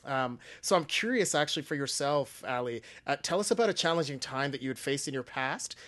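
A man's voice: medium pitch, lively intonation, a little fast, fairly bright tone. A man co-hosting a podcast, thoughtfully framing a question and restarting his sentences as he goes. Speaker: podcast co-host.

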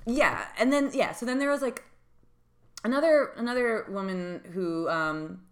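A young woman with a deep voice in casual chat, rambling with many fillers and frequent laughs. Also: lively intonation, unhurried.

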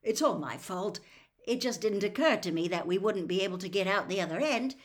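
Woman as middle-aged wizard father, storybook character, excitable, muddled, kindly, apologetic. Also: mid-range voice, brisk, lightly acted. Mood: friendly, apologetic. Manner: eager, flustered, apologetic.

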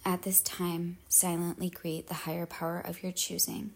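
A woman leading a guided meditation in measured, solemn phrases with pauses, her husky voice trailing into breathiness. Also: lowish voice, little pitch movement.

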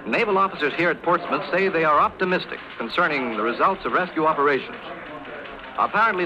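An American man delivering a formal, clearly projected 1930s live radio news report. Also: on the high side, background noise. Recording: muffled 1930s radio recording, busy background.

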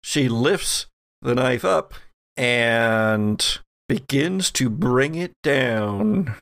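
A man with a gravelly, creaky voice runs a tabletop horror game as game master. He narrates slowly and deliberately, with dramatic pauses, in a dry, close recording. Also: mid-range voice, expressive, a bit bright, no room sound.

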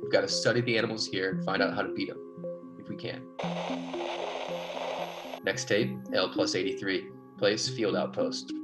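Low-voiced earnest male scientist. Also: fairly even intonation, moderate pace, long pauses, over loud music, poor audio. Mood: grave. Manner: explanatory, insistent. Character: earnest male expedition biologist, recording clipped field reports. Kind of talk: classic radio science fiction drama.

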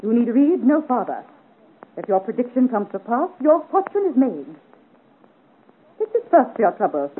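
A woman's voice: a little high, sing-song, normal speed, pauses often, slight background noise. An actress playing a proud, ambitious marchioness in her thirties with an imperious, grand manner in a 1950s radio serial. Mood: commanding. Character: haughty ambitious 37-year-old marchioness, royal favourite of France. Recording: muffled phone-like old radio recording.

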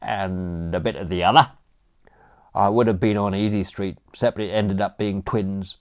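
A man's voice: deep voice, fairly even intonation, normal speed, close to an everyday voice. A man voicing a brash, cheerful male butler with a cheeky, slangy way of talking. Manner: breezy, cheeky, working-class slang.

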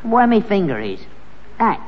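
A man's voice: high voice, very animated, measured pace, big-room sound, dull audio. An actor playing a wily old junkman father in a vintage British sitcom, with a London accent and a sly, hesitant way of talking. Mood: probing, sly. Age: older. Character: wily old father, a rag-and-bone man, needling his son.

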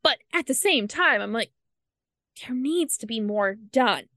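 A woman co-hosting a casual book discussion podcast, talking earnestly with quick asides. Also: fairly high pitch, expressive, bright, forceful tone, dry recording, clean studio sound. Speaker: podcast co-host.

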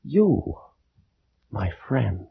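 Deep, slow, grave immortal ancient Egyptian, theatrical and tormented, old dull radio recording. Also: male voice, sing-song, gravelly, a little hiss or hum, full character voice. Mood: stricken, anguished. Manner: grave, theatrical, formal diction. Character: Sosra, anguished immortal ancient Egyptian man, weary of life. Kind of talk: 1940s radio drama acting and narration. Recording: dull 1940s radio recording, music behind.

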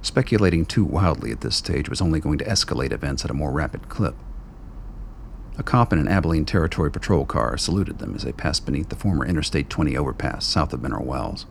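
A man with an extremely deep, gravelly voice narrates a radio drama. His delivery is steady and deliberate, and he lets each scene unfold at its own pace. A faint hiss sits under the recording. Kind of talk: scripted narration.

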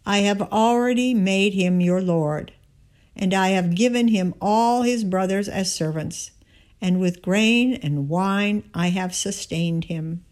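A woman's voice: medium pitch, takes it slowly, darkish tone, pitched above the performer's own voice, lightly acted. A woman reading the words of an old, blind father in a scripture story, grave and resigned. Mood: solemn, resigned.

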